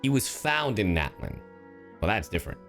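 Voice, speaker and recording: A man's voice: lowish voice, very animated, moderate pace, vocal fry, a bit bright, faint music behind. A man giving casual live-stream game commentary in a slangy, profane manner.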